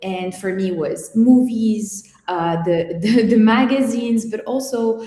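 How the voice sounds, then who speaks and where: A woman from Morocco speaks in a mellow, relaxed tone, measured and reflective, pausing often as she answers questions. Her voice sits in an echoey room with a long reverb tail. She talks as a guest on an interview. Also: on the high side. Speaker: guest image consultant.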